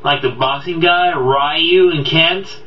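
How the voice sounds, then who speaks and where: A man's voice: fairly high pitch, takes it slowly, a little hiss or hum. Rambling man in a boxy, echoey room. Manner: rambling, stumbling, repeats words. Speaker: amateur video game movie reviewer. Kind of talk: casual unscripted countdown review. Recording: boxy echoey room, distant dull mic, background audio.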